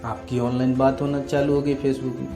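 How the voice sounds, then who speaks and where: A man's voice: mid-range voice, level tone, moderate pace, dark tone. A man giving an instructional talk as a coach, explaining emphatically and repetitively with lists of examples. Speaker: network marketing coach. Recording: roomy echo with music underneath. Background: music.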